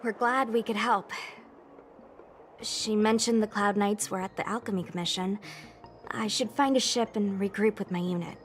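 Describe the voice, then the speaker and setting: A woman's voice: mid-range voice, steady tone, normal speed, soft background music, natural voice. A woman voicing an earnest, eager young female knight in a video game, spirited and friendly in manner. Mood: earnest, helpful.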